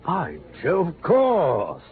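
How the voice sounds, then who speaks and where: Gushing posh English gentleman swindler, swooping pitch. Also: huge pitch swings, drawn-out words, full character voice. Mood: breezy. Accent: upper-class English. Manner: effusive, affected, prattling. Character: dapper, gushing, evasive British gentleman swindler. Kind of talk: 1950s radio drama acting. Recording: muffled old radio recording.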